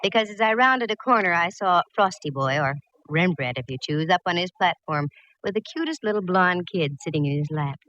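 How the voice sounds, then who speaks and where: A woman's voice: fairly low pitch, lively intonation, brisk, a bit bright. An actress playing a wry, plucky female private eye in a 1940s radio detective drama, with a wisecracking manner. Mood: wry narrating. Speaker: actress narrating and playing lead. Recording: muffled, lightly hissy old radio recording.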